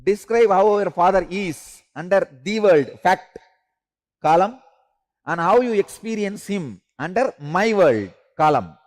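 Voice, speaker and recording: A man, a Tamil speaker, leading a spiritual class with slow, repetitive instructions and emphatic rises. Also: high voice, expressive. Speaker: spiritual teacher.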